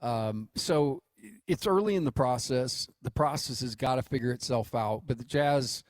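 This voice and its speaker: A man's voice: mid-range voice, moderate pace, dry recording. An American man co-hosting sports radio, talking casually and explaining things in a conversational, reassuring way. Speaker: radio co-host.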